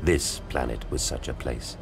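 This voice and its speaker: A man's voice: rumbling low, unhurried, gravelly, slight background noise. A man giving solemn, theatrical scripted narration for a war audio drama.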